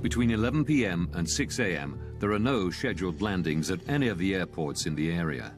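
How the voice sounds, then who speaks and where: A man reading scripted documentary narration in a measured, serious manner. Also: sits low, animated, over music.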